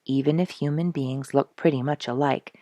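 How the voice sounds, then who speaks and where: A woman reading a story aloud to children as a storyteller, expressively and with hushed emphasis. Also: extremely low pitch, fairly even intonation, moderate pace, dry recording.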